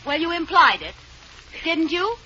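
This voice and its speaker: A woman's voice: very high voice, big pitch swings, measured pace, heavily acted. An actress playing a playful, scheming young wife in a 1940s radio sitcom, coaxing her husband. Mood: indignant. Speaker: lead actress. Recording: muffled old radio broadcast with hiss.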